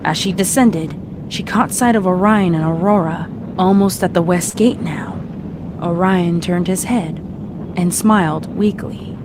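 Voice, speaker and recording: A woman's voice: lowish voice, noisy background. A woman reading scripted fiction as a narrator, in a dramatic storytelling style.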